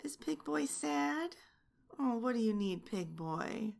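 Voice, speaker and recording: A young woman playing a sharp-tongued, domineering flight attendant who mocks and taunts. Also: on the high side, animated, takes it slowly, creaky, full character voice. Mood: mocking. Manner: mocking, teasing taunts. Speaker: voice actor in an audio roleplay. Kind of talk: adult audio roleplay.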